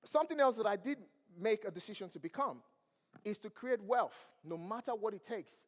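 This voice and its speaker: A man's voice: high voice, animated, moderate pace. A man with a Nigerian accent, English not his first language, giving a motivational speech on stage and asking the crowd questions. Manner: preachy, asks the crowd questions. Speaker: motivational speaker. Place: large event hall. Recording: long hall reverb.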